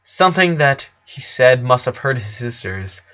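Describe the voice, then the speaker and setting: A man's voice: medium pitch, very animated, moderate pace, bright, forceful tone, muffled recording. A man reading a dramatic story aloud as narrator. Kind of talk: dramatic fan fiction narration.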